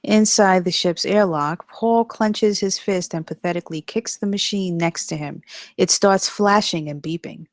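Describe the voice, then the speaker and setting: A woman's voice: fairly low pitch, moderate pace, no echo, studio quality. A woman reading scripted stage directions as the narrator.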